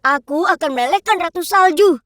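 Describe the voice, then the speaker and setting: A curious, headstrong young boy in a children's cartoon. The voice is high and animated. He talks boldly and eagerly, like a kid sure of his own plan. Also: brisk. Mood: determined.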